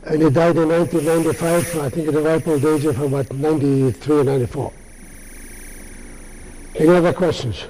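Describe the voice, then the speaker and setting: Older man, halting speech, mellow tone, fairly high voice. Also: fairly even intonation, slight background noise, poor audio. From Lithuania. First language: Yiddish. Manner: halting, many pauses and restarts. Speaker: Holocaust survivor speaker. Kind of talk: answering audience questions.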